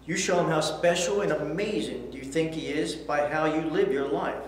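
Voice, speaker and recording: A man's voice: a little high, normal speed. A middle-aged man teaching a Bible lesson to children, reading scripture aloud and asking questions in an earnest way. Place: church studio set with backdrop, indoors. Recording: echoey hall, faint hiss.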